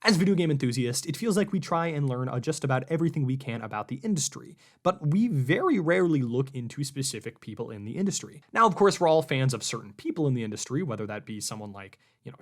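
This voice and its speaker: A young adult man hosting a casual podcast, upbeat and chatty, a little self-deprecating, recorded in an echoey room on a home mic. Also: medium pitch. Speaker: podcast host.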